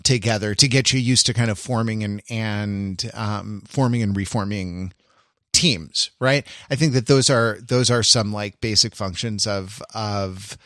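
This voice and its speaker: A man co-hosting a podcast, talking casually and analytically in a halting, rambling, intellectual way with wry amusement. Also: lowish voice, pushed and bright, no room sound, clean studio sound. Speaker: podcast co-host.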